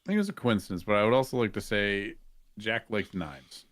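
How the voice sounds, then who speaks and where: A man's voice: fairly low pitch, lively intonation, brisk, a bit bright, no room sound. A man talking casually as a guest on a gaming podcast. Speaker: podcast guest and roleplay streamer.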